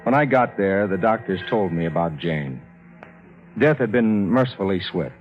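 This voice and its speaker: Loyal best friend, somber vintage radio narrator, lowish pitch, dull recording. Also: male voice, animated, moderate pace, a little hiss or hum. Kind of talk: 1950s radio drama narration. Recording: dull 1950s radio recording, loud music.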